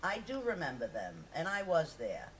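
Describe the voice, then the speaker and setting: A woman reads a memoir aloud to an audience with animated, rising and falling pitch. Her voice is on the low side. It is caught by a distant microphone with faint noise around it. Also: moderate pace. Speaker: reader at a poetry festival. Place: live festival reading, picked up from a distance. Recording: distant mic, noisy live event recording.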